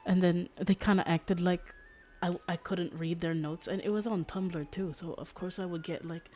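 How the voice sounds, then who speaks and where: A woman streamer chatting casually as she games, in a halting, rambling way, with music behind her. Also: lowish voice, fairly even intonation, a little mellow. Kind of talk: casual livestream chat while gaming.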